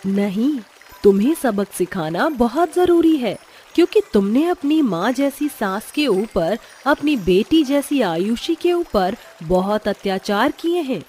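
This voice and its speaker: A woman's voice: a little high, very animated, measured pace, mellow, soft background music. A woman voicing a righteous mother goddess in a moral fairy tale, with a commanding, declamatory manner. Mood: stern. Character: stern, righteous mother goddess from a magic tree.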